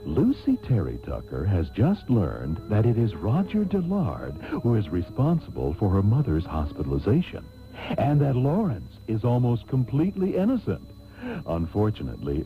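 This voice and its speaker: An actor playing a suave, pompous host narrating a parody radio soap with drawn-out theatrical delivery. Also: male voice, fairly low pitch. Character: suave, mock-grand male soap opera host. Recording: tinny band-limited taped radio broadcast.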